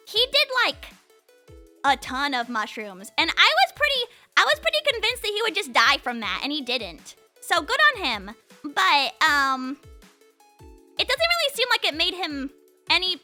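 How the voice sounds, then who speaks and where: A young woman hosts a casual livestream chat in a very high, strikingly bright voice. Her intonation moves around a lot as she rambles playfully from one thought to the next, talking to her viewers. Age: 20s. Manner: playful, rambling, says "like" constantly. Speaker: livestream host chatting with viewers.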